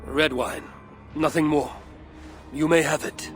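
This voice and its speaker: An actor playing a blunt, determined Norse warrior with terse, resolute delivery in a dramatic video game. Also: male voice, a little high, fairly even intonation, slow pace, faint music behind. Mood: impatient. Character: determined, blunt Norse raider warrior seeking to end bloodshed.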